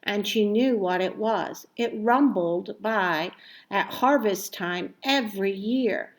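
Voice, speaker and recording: A woman reading a children's story aloud expressively, with deliberate phrasing and emphatic stressed words. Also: fairly high pitch. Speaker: storybook reader.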